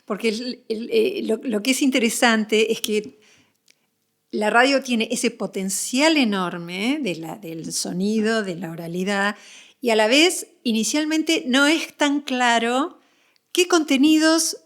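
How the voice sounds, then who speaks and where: Woman interviewer, Argentine accent, moderately high pitch, reflective, conversational, frequent tag questions. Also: takes it slowly. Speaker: podcast interviewer.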